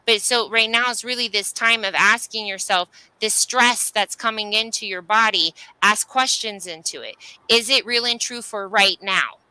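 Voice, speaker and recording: A woman's voice: mid-range voice, normal speed, bright, forceful tone, clear, resonant tone, dry recording, rough recording. A woman giving a casual, coaching-style spiritual reading, often checking in with short tag questions. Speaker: spiritual reader, co-host.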